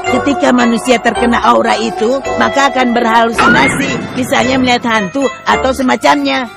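An actor playing a round robot cat who patiently explains things in a dubbed children's cartoon, with a creaky voice. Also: medium pitch, steady tone, brisk, lightly acted. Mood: explaining, teasing. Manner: explaining, matter-of-fact. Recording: music behind the voice.

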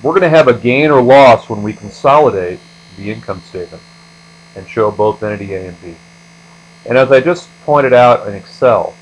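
A man's voice: mid-range voice, low-quality recording. A man giving a step-by-step screencast lecture as an instructor, explaining things methodically. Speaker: accounting instructor.

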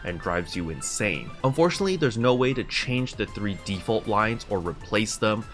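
A man's voice: lowish voice, animated, normal speed, fairly bright tone. Young man giving a casual game tutorial, faint music. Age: young adult. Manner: explanatory, step by step, conversational. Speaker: video game tutorial host. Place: small room at home, indoors.

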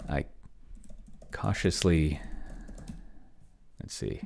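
A middle-aged man giving casual think-aloud commentary at his computer in a halting, murmuring way, close to a desk microphone. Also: extremely low pitch, big pitch swings, vocal fry, a little mellow, slight background noise. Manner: halting, murmuring to himself while typing and reading. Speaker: host, solo roleplaying player. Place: small room at home, indoors. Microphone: desk microphone close to the mouth.

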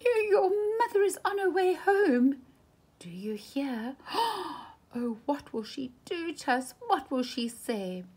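A woman's voice: sits high, big pitch swings, takes it slowly, darkish tone, pitched above the performer's own voice, heavily acted. A woman voicing an alarmed, bossy pet fish from a storybook, exclaiming in a fretful, urgent way. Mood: panicked.

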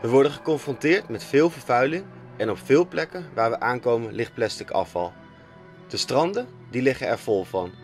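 A young Dutch man, a sailing skipper, reading a calm, matter-of-fact scripted voice-over. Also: mid-range voice, moderate pace. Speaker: skipper narrating a sailing documentary. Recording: faint music under the voice.

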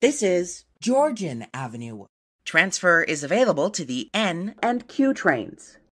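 A woman reading scripted train announcements in a measured, formal, segmented way. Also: fairly low pitch, big pitch swings, fairly bright tone. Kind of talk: scripted transit announcement, spliced clips.

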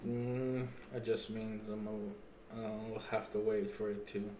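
A man's voice: lowish voice, flat delivery, slow pace, warm tone, a little hiss or hum. A man hosting a fighting game tournament stream, chatting casually and swearing now and then. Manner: laid-back, swears casually, chats with chat. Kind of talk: casual live commentary. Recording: echoey room with faint game music.

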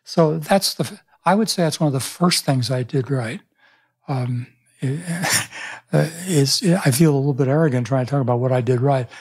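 Older man, husky voice, storytelling. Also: medium pitch, moderate pace. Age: 70s. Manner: thoughtful storytelling with frequent restarts. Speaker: pastor and author, guest. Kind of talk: reflective interview conversation. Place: small room, indoors. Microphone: studio microphone on boom arm.

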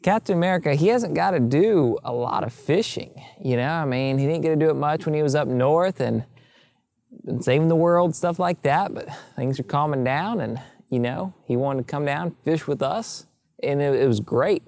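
A man's voice: on the high side, normal speed, vocal fry, darkish tone, no room sound, clean studio sound. A man in his thirties, hosting a show, speaking to the camera in an upbeat, tongue-in-cheek way on a lapel mic. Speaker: show host. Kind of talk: to-camera talk. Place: outdoors in front of a sign.

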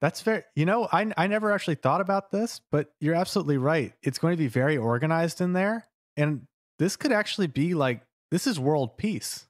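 A man's voice: on the high side, lively intonation, a little fast, no echo, studio quality. A man musing tentatively as a podcast panelist, speculating in a casual, joking way.

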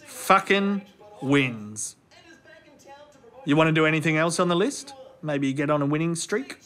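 A man voicing a cheeky, sarcastic floating robot sidekick, with a crude, teasing, deadpan way of talking. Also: fairly high pitch, a little slow. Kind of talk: comedy web series acting.

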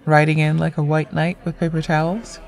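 A woman's voice: low voice, fairly quick, vocal fry. An actress playing a blunt, playful woman near forty in audio drama dialogue, speaking directly. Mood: flirty, candid. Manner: direct, animated delivery.

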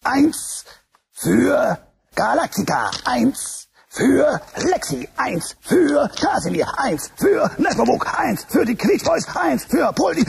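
A man voicing an excitable furry puppet who hosts a children's show in a radio play. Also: very high-pitched, slight background noise, heavily acted. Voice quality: gravelly, exuberant, sing-song. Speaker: show host within the play.

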